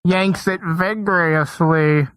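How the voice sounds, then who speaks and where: A man puts on a sinister shadow figure from vintage radio drama. He speaks slowly and theatrically, leering as if he sees everything. The sound is dry, with no room on it. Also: sits high, steady tone, a bit bright, clear, resonant tone, no echo. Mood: creepy, gloating. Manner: slow, drawn-out, theatrical delivery. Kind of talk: 1940s radio acting parody.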